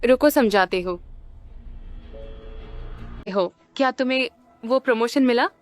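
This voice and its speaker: Young female reporter, acted by a woman: fairly high voice, wry, teasing, casual, music behind. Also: a little slow, close to an everyday voice. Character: sharp, teasing young woman reporter, guarded but fond. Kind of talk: Hindi-dubbed video game dialogue.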